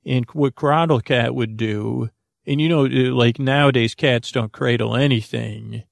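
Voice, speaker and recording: Creaky-voiced man rambling gently off on tangents. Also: mid-range voice, steady tone, no echo, clean studio sound. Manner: meandering, halting, full of tangents and false starts; goofy, whimsical. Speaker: podcast host. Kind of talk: rambling sleep podcast intro.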